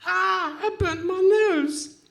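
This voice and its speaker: A woman's voice: extremely high pitch, slow, creaky, a bit bright, full character voice. Childlike scout simpleton, played by a woman, radio comedy. Dopey, stammering, dragging pace. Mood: frightened, dopey. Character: dim, childlike, timid scout simpleton, male. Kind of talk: Goon Show style radio comedy acting. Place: live stage performance in a hall.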